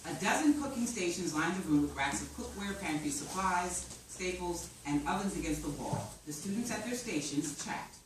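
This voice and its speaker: Female screenplay narrator, very low register, live reading with strong room echo. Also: expressive, normal speed, hardly any pauses. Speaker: stage-direction reader at screenplay reading. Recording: echoey room, distant mic, faint noise.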